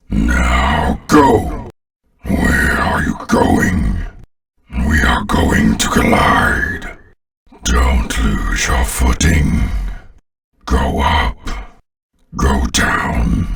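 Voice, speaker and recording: A sinister alien overlord speaks from the very bottom of the register, slow and imperious. The voice is gravelly and sounds a little distant, dripping with contempt like a commanding video game villain. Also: male voice, a bass voice, fairly bright tone, exaggerated voice. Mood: commanding, menacing. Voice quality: gravelly, growling.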